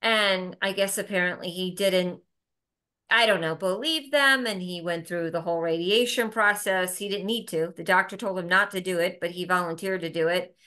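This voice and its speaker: A woman's voice: on the low side, moderate pace, pushed and bright. A woman speaking as an interview guest in casual conversation, emphatic, often restarting and repeating words.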